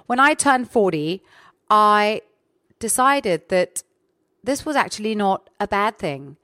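A woman in her forties talks in an earnest, reflective way about feeling good in herself. Her voice sits at a middle pitch, and the recording is close and dry with no room sound. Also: lively intonation, takes it slowly, pushed and bright, no room sound. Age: 40s. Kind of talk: casual chat.

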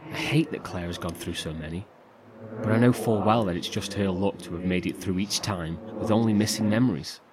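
An actor playing a weary, frightened survivor trapped in darkness, delivering a halting, confiding monologue in a horror audio drama. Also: male voice, close to an everyday voice. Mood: reluctant, grave. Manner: halting, confiding, with sighs and swearing. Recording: clearly noisy, rumbling ambience.

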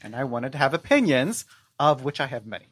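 A man as a podcast guest, giving opinionated, emphatic book commentary with deliberate pauses. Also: mid-range voice, big pitch swings, slightly rough.

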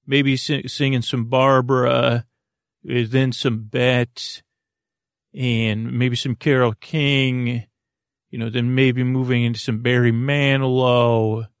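A male podcast host with a mid-range, heavily creaky voice in a dry, echo-free recording. He improvises a wandering monologue, halting often and drifting from one half-finished thought into the next. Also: medium pitch, flat delivery. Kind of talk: rambling, meandering improvised monologue.

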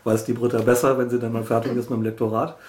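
A man's voice: mid-range voice, little pitch movement, fast, a little mellow. A man giving an informal, spontaneous interview statement as a publishing executive. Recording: echoey room.

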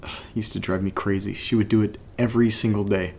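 A man playing an uneasy, reluctant character in a horror audio drama, speaking haltingly in a naturalistic monologue, as if muttering to himself. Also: lowish voice, flat delivery, a little mellow, husky. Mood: uneasy, subdued. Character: uneasy, reluctant man exploring a creepy place and reading strange letters. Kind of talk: naturalistic found-recording audio drama monologue, partly reading a letter aloud.

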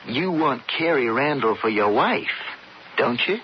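An actor playing a sly, bitter older-brother cowboy in a radio western, persuasive and folksy with an American Western drawl. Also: male voice, mid-range voice, lively intonation, unhurried, close to an everyday voice. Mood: confident, coaxing. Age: adult, older brother. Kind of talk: radio western drama acting. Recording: muffled, hissy 1970s radio broadcast.

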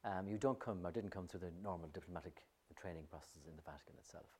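A man's voice: sits low, fast, dark tone, breathy edge. A man interviewing a church official, asking direct and probing questions. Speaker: newspaper interviewer.

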